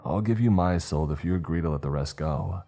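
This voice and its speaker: A man voicing an earnest, naive scout leader who shouts orders and pleads. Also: extremely low pitch, brisk, soft background music. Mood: pleading. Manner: urgent, pleading.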